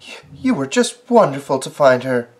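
A man's voice: medium pitch, sing-song, normal speed. A man doing a gasping, squealing Missus Cat. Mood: overjoyed. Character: excitable motherly female cat.

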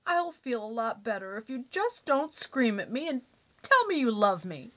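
Woman playing a high-pitched, nagging, whiny wife. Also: big pitch swings, normal speed, sharp tone. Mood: pleading. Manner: whiny, dramatic complaining. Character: nagging, needy, easily wounded bickering wife. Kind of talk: old-time radio comedy acting. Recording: dull, lo-fi band-limited sound.

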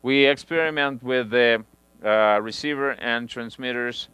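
A man in his thirties demonstrating audio gear in a small home studio, talking through the steps in halting phrasing with frequent restarts, on a headset mic. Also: medium pitch, sharp tone, smooth, no echo. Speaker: audio equipment reviewer. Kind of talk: hands-on equipment demonstration.

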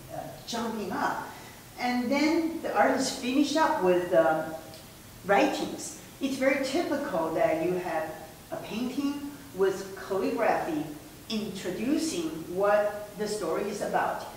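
A woman's voice: mid-range voice, a little hiss or hum. Woman, 60s, friendly presenter, filler-heavy, far mic, very echoey room. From Taiwan. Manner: friendly, explains with many fillers and gestures. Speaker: museum director presenting. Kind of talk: casual gallery presentation. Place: indoor museum room. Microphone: far camera mic.

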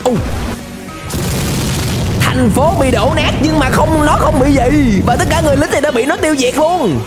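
A young man giving excited, exclamatory reaction commentary as a video host, dragging out words for drama, close to the microphone. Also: very high-pitched, moderate pace. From Vietnam. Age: young adult. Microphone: close desk microphone. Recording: music behind the voice.

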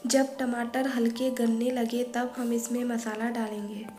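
Calm woman narrating a home recipe. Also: fairly high pitch, measured pace. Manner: calm, methodical, listing each step and ingredient. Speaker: cooking channel host. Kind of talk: step-by-step recipe voice-over. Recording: echoey room voice-over with faint music.

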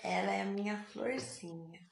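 A middle-aged woman with a gravelly, fry-tinged voice coaxes and prompts someone off to her side. She sounds protective and patient. The clip has a roomy echo and faint hiss. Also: mid-range voice, normal speed. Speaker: mother presenting her daughter. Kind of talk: casual home video talk. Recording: echoey room in phone video clip.

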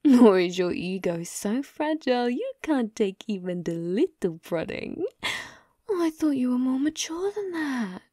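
A woman playing a young, possessive and sadistic yandere captor in an ASMR roleplay, taunting in a mockingly sweet, breathy, cooing way. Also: fairly high pitch, sing-song, a little slow, warm tone, no echo, studio quality. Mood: mocking amusement. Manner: taunting, teasing, cooing, breathy drops to whisper.

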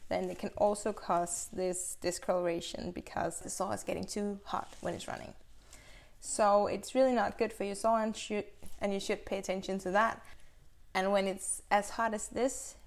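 Young woman, mid-pitch, gravelly fry, casual hands-on instructor, small workshop, close camera mic. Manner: relaxed, practical, explains while handling tools. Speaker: chainsaw instructor. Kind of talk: casual how-to demonstration. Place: small bright workshop indoors.

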